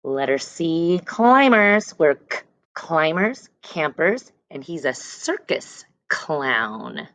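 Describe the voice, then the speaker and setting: A woman's voice: sits low, big pitch swings, slow pace, a bit bright, dry recording. A woman teacher reading a picture book aloud to young children, stressing words and letter sounds and adding short explanations. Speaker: elementary school teacher reading aloud to class.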